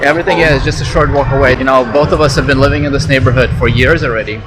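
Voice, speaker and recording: A man in his thirties from the United States, hosting a travel vlog in a relaxed, chatty way with fillers, outdoors in a city and then in a restaurant. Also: mid-range voice, very fast, music in the background. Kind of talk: casual interview chat.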